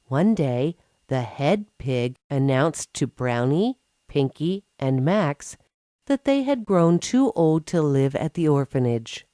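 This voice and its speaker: Slow, very expressive low-voiced woman storyteller. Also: no echo, clean studio sound. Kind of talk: scripted children's story narration.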